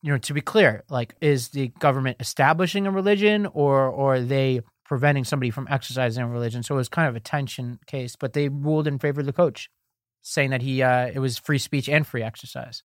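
A man in a casual news podcast talks through legal cases at an everyday pitch, padding his points with fillers and quick restarts. His voice is recorded close up in a dry, dead room. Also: no echo. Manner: explanatory, filler-heavy, restarts. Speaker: podcast co-host.

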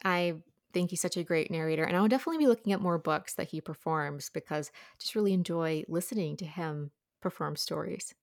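Low-voiced woman discussing books, close dry sound. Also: fairly quick, gravelly, hardly any pauses, no room sound. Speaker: podcast guest, book reviewer. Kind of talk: conversational book discussion.

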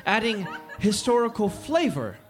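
A man telling a mock-serious history story on stage, pompous and deadpan. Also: sits high, unhurried, faint music behind. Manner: pompous, deadpan, scolding interruptions.

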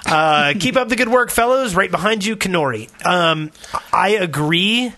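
A man's voice: high-pitched, animated, normal speed, sharp tone. A man hosting a casual podcast, reading aloud and commenting. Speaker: podcast host.

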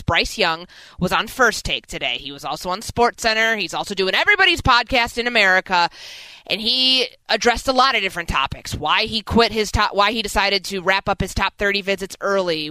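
A woman's voice: mid-range voice, bright, forceful tone, dry recording, low-quality recording. A woman hosting a sports radio show, delivering opinions and promos with emphatic energy into a close studio mic. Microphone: close studio broadcast microphone.

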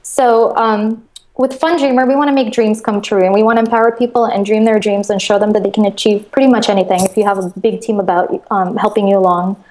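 An enthusiastic woman from Russia chats easily as a guest, her voice at an ordinary middle pitch. She talks in a loose, excited flow over a video call. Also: fast talker. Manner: enthusiastic, chatty, laughs often. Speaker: guest, crowdfunding platform co-founder. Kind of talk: casual interview chat.

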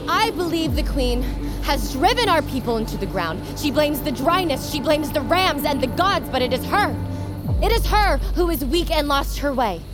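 A bold huntress speaks in a high voice with clear music behind her. She is blunt, forceful and defiant, in the manner of epic audio fiction. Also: very animated, normal speed. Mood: defiant challenge. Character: bold, defiant young huntress challenging for power. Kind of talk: dramatic audio fiction acting.

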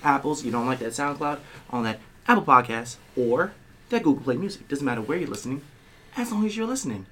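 A man co-hosting a casual podcast, bantering playfully, with a slight tickle in his throat that keeps making him clear it. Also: medium pitch, animated, fast, a bit bright. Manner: playful, boastful self-introductions. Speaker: podcast co-host.

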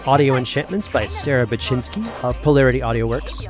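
An actor playing a wry, sceptical but indulgent scholar in a fantasy audio drama, speaking conversationally. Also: male voice, medium pitch, expressive, fairly quick, over music, natural voice. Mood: patient.

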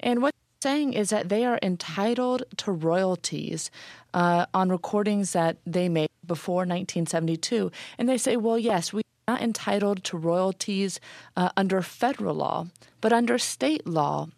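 A woman journalist, a guest on a radio show, explaining a legal matter clearly and briskly. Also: mid-range voice, darkish tone, no echo, studio quality. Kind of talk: radio interview answers.

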